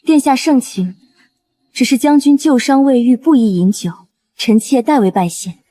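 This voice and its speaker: A woman as a high-voiced, shrewd noblewoman. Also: animated, normal speed, warm tone. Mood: polite, guarded. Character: shrewd devoted noblewoman, wife of a general. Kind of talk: Chinese costume drama dialogue.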